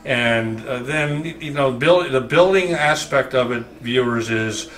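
A man's voice: medium pitch, measured pace, fairly bright tone. A man conducting a casual on-location interview as a video reporter. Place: hotel room at an audio show, indoors. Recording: roomy indoor echo.

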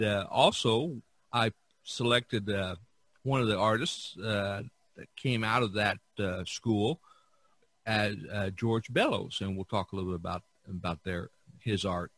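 A man hosting a casual podcast, talking in a halting way with broken phrases. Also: mid-range voice, fairly bright tone, husky, no echo. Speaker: podcast host.

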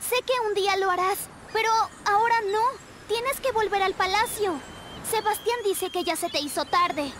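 Polite, reassuring girl mermaid for a game, extremely high pitch. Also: normal speed, a bit bright, a little hiss or hum. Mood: earnest. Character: helpful, upbeat young mermaid girl. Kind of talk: animated video game voice acting.

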